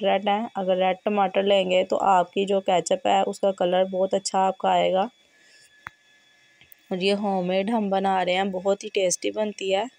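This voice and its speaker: A woman with a hoarse, fevered voice, hosting a home cooking tutorial and giving steady step-by-step instructions in a kitchen. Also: mid-range voice, dry recording. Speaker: cooking channel host.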